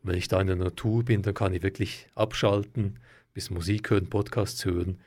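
Man from Croatia, interview guest, lower voice, fluent and composed. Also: brisk. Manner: calm, fluent and articulate. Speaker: guest, political scientist. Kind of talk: radio interview.

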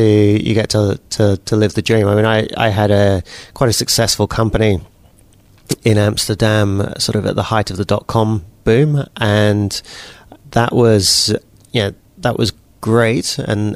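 A man's voice: fairly low pitch, no room sound. A New Zealand-born man in his forties with a British accent, answering interview questions casually with frequent restarts. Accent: British English. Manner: relaxed, repeats words and restarts often. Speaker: guest entrepreneur.

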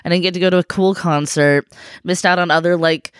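A woman's voice: lowish voice, fairly even intonation, a little fast, smooth, no room sound, clean studio sound. A woman co-hosting a podcast, chatting casually and laughing often, still a little phlegmy from being sick. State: congested, phlegmy from illness. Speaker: podcast co-host. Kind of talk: casual chat.

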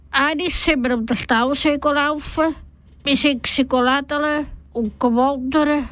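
A woman's voice: sits high, no room sound. A woman in her late forties with a Swiss German accent, hosting radio with questions and personal stories. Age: late 40s. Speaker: radio reporter and host. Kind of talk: street interview and personal radio storytelling.

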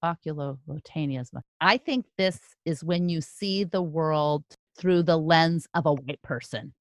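A woman's voice: low voice, a little slow, no room sound. A woman in a casual podcast chat, playfully thinking aloud as she guesses quiz answers.

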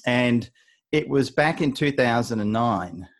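A man's voice: mid-range voice, lively intonation, measured pace. Guy hosting a podcast, chatty and rambly, keeps restarting his sentences. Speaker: podcast host. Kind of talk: podcast interview.

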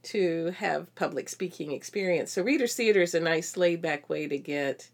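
A woman's voice: on the low side, normal speed. A middle-aged woman chatting informally and agreeably as co-host of an educational podcast. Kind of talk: casual educational podcast chat.

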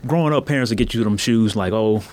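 A man's voice: lowish voice, fast talker, darkish tone, a little hiss or hum. A man from Louisiana, telling personal stories in a casual, slangy way as a podcast guest. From Baton Rouge, Louisiana, USA. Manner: slangy, conversational. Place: podcast studio.

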